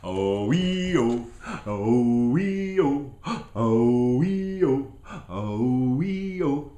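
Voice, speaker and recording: A man voicing wild, needy storybook monsters with drawn-out cries, growls and pleas. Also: medium pitch, very animated, warm tone, roomy sound, pitched above the performer's own voice. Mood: wild, gleeful.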